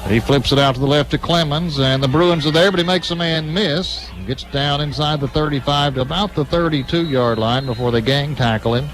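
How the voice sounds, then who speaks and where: A man's voice: fairly high pitch, normal speed, few pauses, fairly bright tone, rough recording. An American man giving live football play-by-play as a radio-style sports announcer at a game. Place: live at a high school football stadium.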